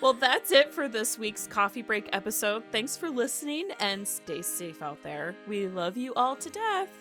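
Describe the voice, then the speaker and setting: A woman podcast host chatting casually in a halting, informal way, often dropping in "like" as she thinks aloud. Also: fairly high pitch, sing-song, music in the background. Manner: halting, informal, filler-heavy with "like". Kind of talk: casual chat about horror radio.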